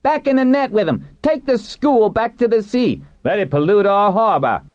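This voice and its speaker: Man doing a loud, high-pitched angry rabble-rouser. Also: wildly swooping pitch, a little slow, full character voice. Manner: loud, rough colloquial shouting. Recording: roomy, dull muffled recording.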